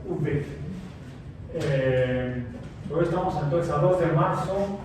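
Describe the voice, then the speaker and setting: A man's voice: on the high side, takes it slowly. A man in his sixties, a science teacher, chats loosely with his students and wanders from one anecdote to the next, stopping and restarting mid-sentence. He sits far from the mic in a very echoey classroom with noise behind him. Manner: rambling, anecdotal, many fillers and restarts. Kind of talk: casual chat with students before class. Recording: very echoey room, distant mic, background noise.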